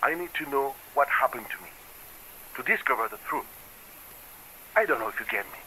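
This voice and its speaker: An actor playing a haunted, determined island fisherman in a video game, giving grave, measured testimony through an old tape filter. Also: mid-range voice, expressive, sharp tone. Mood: haunted, resolute. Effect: old tape recording filter. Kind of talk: scripted recorded testimony. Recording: noisy, thin reel-to-reel tape playback.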